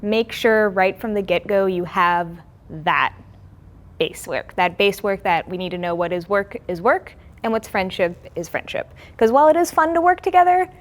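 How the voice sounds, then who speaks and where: A woman's voice: mid-range voice, normal speed. A young woman who co-creates and writes a comic, explaining her process in an interview.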